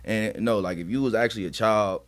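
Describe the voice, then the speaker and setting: A man's voice: on the low side, a little fast, no room sound. An American man co-hosting a podcast, debating sports casually in an emphatic, slangy, opinionated way. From Akron, Ohio, United States. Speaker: podcast co-host.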